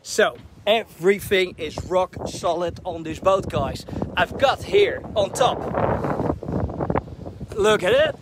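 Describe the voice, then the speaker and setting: A man in his thirties with a Dutch accent, giving an excited tour of his sailboat while filming himself. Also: high-pitched, slow pace, noisy background. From Netherlands. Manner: enthusiastic, chatty, addresses viewers as guys. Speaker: sailing vlogger. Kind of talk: casual vlog boat tour. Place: on a sailboat in a harbor, cabin and deck. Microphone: camera at arm's length.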